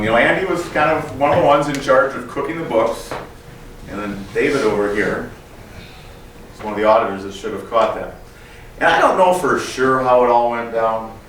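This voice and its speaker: A man with a bright, slightly high voice explains like a professor telling an informal story. The room is very echoey, and there is faint noise behind him. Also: far from the mic.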